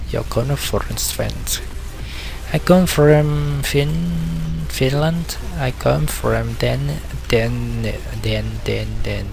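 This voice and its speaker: Male teacher, very slow and halting, low gravelly voice, faint music underneath. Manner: slow, halting, many repetitions and fillers. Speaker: language teacher. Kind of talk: screen-recorded language lesson.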